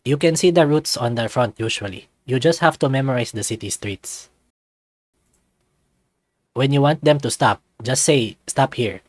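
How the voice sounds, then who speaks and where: A man's voice: mid-range voice, moderate pace, no room sound, close to an everyday voice. A man voicing an upbeat, friendly young male otter guide in a visual novel, with an eager, breezy manner. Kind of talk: visual novel character voice read aloud.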